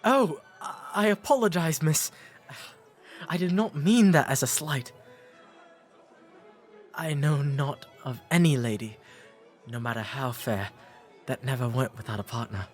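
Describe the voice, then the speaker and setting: A man with an English accent playing a courteous, shy, bookish 19th century gentleman in a romantic audio drama, speaking formally and gently. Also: mid-range voice, measured pace, long pauses, faint music behind, lightly acted. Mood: apologetic, gently teasing. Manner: formal, polite old-fashioned diction with hesitant, gentle delivery.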